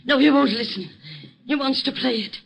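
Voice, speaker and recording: An actress playing an anguished, stubbornly loyal old wife in an old time radio drama, pleading and insistent. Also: female voice, sits high, moderate pace, creaky, slightly rough, exaggerated voice, poor audio. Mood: stubborn, tense. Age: older.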